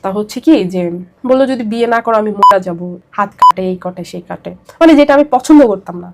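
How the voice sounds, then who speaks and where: A woman's voice: mid-range voice, lively intonation, fairly quick, heavy background noise. A young woman with a Bangladeshi accent telling her personal story as an interview guest, emphatic and repetitive as she pours out her account.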